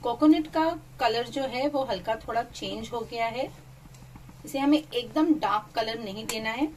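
A woman's voice: a little high, measured pace, a little mellow, distant mic, a little hiss or hum, rough recording. A woman hosting her cooking channel, chatting through a recipe as voice-over and mixing English phrases into her talk.

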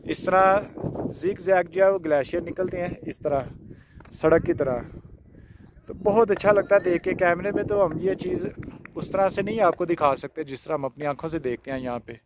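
Male travel vlogger, 30s, slightly high voice, casual narration over clearly audible outdoor wind noise. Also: expressive. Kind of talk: casual vlog narration to camera. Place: outdoors in an open mountain valley. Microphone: handheld phone. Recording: windy outdoor phone audio, loud noise.

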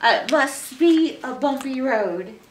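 A middle-aged American woman leading a children's story time, expressive as she talks to young listeners. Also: high-pitched, slow, slight background noise. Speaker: librarian storyteller. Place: small library room, indoors. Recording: roomy, picked up from a distance.

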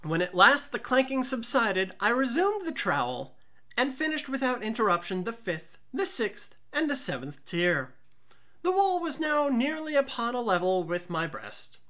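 A woman narrates a gothic tale as an audiobook reader. Her voice is bright and forceful, with lively swings of pitch and a deliberate, theatrical delivery. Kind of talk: dramatic gothic storybook narration.

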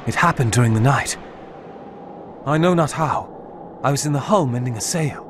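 A man's voice: mid-range voice, normal speed, noisy background, natural voice. An actor playing an earnest, proper young swashbuckling man with formal phrasing in a video game. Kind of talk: video game cutscene acting.

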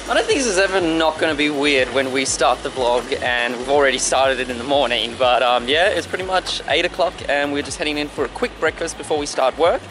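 A man's voice: fairly high pitch, brisk, few pauses, noisy background. A young man in his twenties, casually explaining his day's work while walking outdoors and filming himself with a handheld camera. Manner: chatty, explaining as he walks. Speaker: travel vlogger. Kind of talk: casual vlog narration. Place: outdoors at a beach turtle hatchery. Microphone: handheld camera at arm's length.

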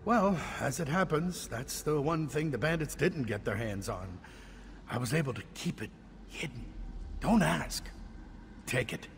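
A cavernous, reverberant game recording of a fearful middle-aged mage held captive. He is indignant and theatrical, with a creaky edge and animated, swinging pitch. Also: measured pace. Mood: wary, explaining. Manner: agitated, theatrical. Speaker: game character actor. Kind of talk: video game dialogue acting. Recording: long reverb, background noise.

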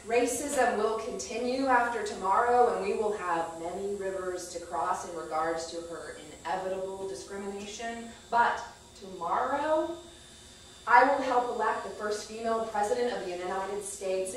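A woman in her thirties performing an expressive, poetic personal monologue on stage with deliberate delivery. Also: medium pitch. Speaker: spoken-word storyteller on stage. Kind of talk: rehearsed dramatic personal monologue read to an audience. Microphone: far camera microphone. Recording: echoey theater, distant mic, faint noise.

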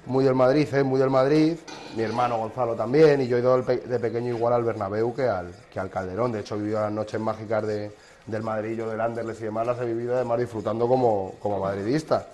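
Hombre charlatán contando anécdotas. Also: male voice, mid-range voice, fairly even intonation, fast talker, darkish tone. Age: 30s. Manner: chatty, anecdotal, rambling with restarts. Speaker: sports journalist guest. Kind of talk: casual interview chat. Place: kitchen.

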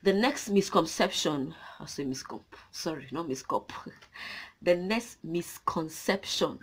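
Husky, fired-up Nigerian woman ranting. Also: on the low side, slow. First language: Nigerian language. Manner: passionate, animated, gesturing. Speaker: vlogger. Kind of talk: ranting to camera. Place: small indoor room with a draped cloth backdrop.

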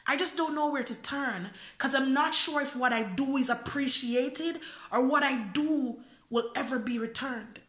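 A woman's voice: high voice, a little slow, hardly any pauses, fairly bright tone, reverberant. A young woman from Jamaica performing a freestyle spoken word poem into a phone camera, earnest and searching, full of questions. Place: small indoor room. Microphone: phone camera close up.

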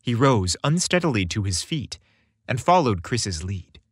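A man's voice: medium pitch, very animated, unhurried, breathy edge, no room sound, studio quality. A man reading a romantic story aloud as a scripted narration.

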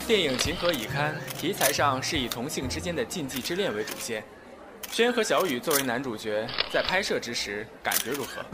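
A man's voice: mid-range voice, expressive, brisk, loud music behind the voice, natural voice. A man playing an entertainment reporter putting formal press questions in an audio drama. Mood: inquisitive. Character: male entertainment reporter questioning film stars.

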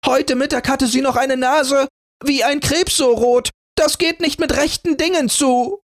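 A man voicing a vain, spiteful young woman in a fairy tale who scolds in a shrill voice. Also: lively intonation, unhurried, dry recording, clean studio sound. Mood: furious.

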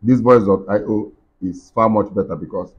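A man in his thirties giving a casual software tutorial, earnestly repeating his points to viewers at a desk computer microphone. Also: on the low side, normal speed, breathy edge. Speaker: tech tutorial host. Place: small indoor room. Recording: dull, muffled lo-fi sound.

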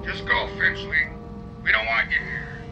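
An actor in a radio drama playing an eerie, menacing voice that comes from a machine. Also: male voice, high-pitched, a little slow, gravelly, muffled recording, over music.

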